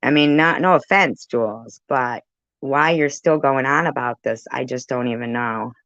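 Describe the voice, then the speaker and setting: A woman's voice: very deep voice, moderate pace, fairly bright tone, no room sound, muffled recording. A woman giving casual reaction commentary on a live stream, chatty and argumentative as she interjects.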